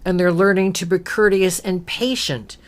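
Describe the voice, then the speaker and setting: Low-pitched female talk host, emphatic and preachy, fond of rhetorical questions. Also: steady tone, moderate pace. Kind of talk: opinionated spiritual talk.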